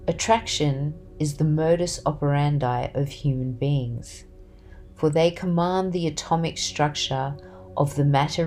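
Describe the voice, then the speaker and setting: A woman reading a book excerpt as scripted literary narration, with solemn, measured, deliberate phrasing. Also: deep voice, warm tone.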